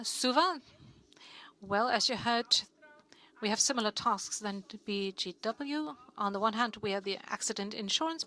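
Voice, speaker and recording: A woman interprets a talk simultaneously, with a bright, forward tone. She speaks in short, steady chunks into a close booth mic. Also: medium pitch.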